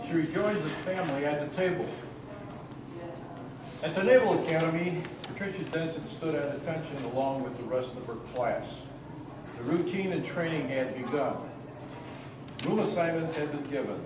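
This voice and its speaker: A man's voice: fairly high pitch, moderate pace. A man narrating an amateur radio play from a script. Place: echoey room at a senior center. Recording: roomy echo, distant mic, clear noise.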